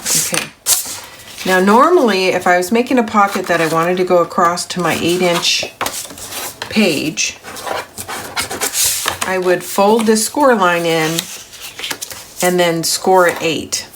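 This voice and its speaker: A middle-aged woman, a little gravelly from grogginess, hosting a papercraft tutorial in a chatty, step-by-step way. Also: fairly low pitch, takes it slowly, long pauses, noisy background. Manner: chatty, step-by-step, self-correcting. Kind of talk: crafting tutorial voice-over.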